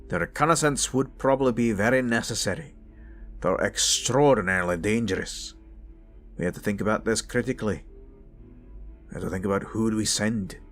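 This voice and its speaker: A man voicing grave fantasy soldiers planning at the frontline in a roleplay audio drama, measured and solemn, with pauses. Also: on the low side, fairly bright tone, faint music behind, close to an everyday voice. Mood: grave, resolute. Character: grave, deliberate fantasy war commanders at the frontline.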